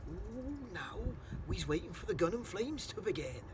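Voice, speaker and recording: A man voicing a big friendly giant who speaks in hushed tones with muddled grammar. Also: high-pitched, very animated, moderate pace, recorded from a distance, a crowd in the background, voice pushed up.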